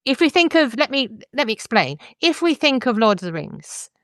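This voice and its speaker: A woman's voice: sits high, very animated, moderate pace, dry recording, studio quality. A woman hosting a podcast, discussing books in an articulate, explanatory way. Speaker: podcast host. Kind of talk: thoughtful podcast book discussion.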